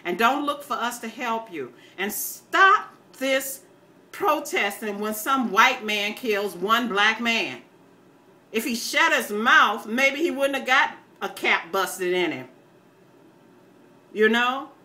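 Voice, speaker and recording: A woman's voice: a little high, expressive, slow, pushed and bright. An older American woman giving an impassioned, off-the-cuff rant to viewers in a blunt, scolding, emphatic and folksy way. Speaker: online ranter, self-styled grandma. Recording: noticeable background noise.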